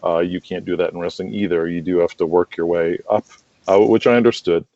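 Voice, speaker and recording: A man's voice: low voice, steady tone, fairly quick, creaky, warm tone, no echo, dull audio. A middle-aged American man telling rambling anecdotes casually as an interview guest. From United States. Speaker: interview guest, wrestling promoter and manager.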